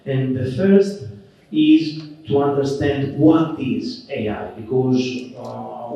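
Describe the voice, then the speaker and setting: A man with a Greek accent giving a relaxed, conversational conference keynote, dotted with "okay" and "uh". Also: a little high. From Greece. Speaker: keynote speaker. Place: conference hall. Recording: roomy, distant, dull hall recording.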